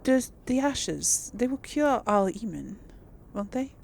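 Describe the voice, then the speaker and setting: Woman, casual game commentary, creaky voice, chatty, faint noise behind. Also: fairly high pitch, sing-song, slow pace, darkish tone. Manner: chatty, talks to the audience. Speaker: gaming channel host playing through a role-playing game. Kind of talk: casual gameplay commentary with in-character dialogue read-alongs.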